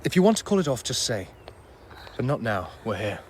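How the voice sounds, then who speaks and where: An actor playing a dedicated doctor in a radio drama, wry and blunt but kind to patients. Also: male voice, medium pitch, big pitch swings, a little fast, heavy background noise, close to an everyday voice. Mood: prickly, defensive.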